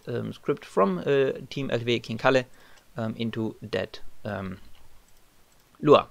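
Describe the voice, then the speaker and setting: A man's voice: medium pitch, vocal fry, dry recording. A man with a German accent, a non-native English speaker, talking through a screen-recorded modding tutorial with many ums and uhs.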